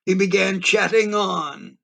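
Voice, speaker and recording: A man playing a rambling, easily spooked beachgoer who tells his tale in dramatic, drawn-out audio play narration. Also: high-pitched, creaky, a bit bright, full character voice. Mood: horrified. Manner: theatrical, drawn-out emphasis.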